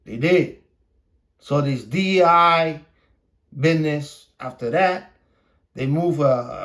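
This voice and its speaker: Slow, high-pitched, profane male podcaster, native Spanish speaker. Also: fairly bright tone. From Puerto Rico. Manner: profane, blunt, repetitive, halting. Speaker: podcast host. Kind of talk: opinionated casual monologue, rant.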